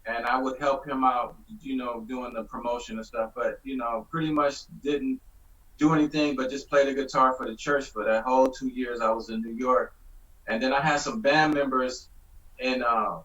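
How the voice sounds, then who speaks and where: A man from Michigan, a musician guest telling his story in a rambling, halting way over a video call. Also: mid-range voice, fairly bright tone. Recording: distant, dull video call audio.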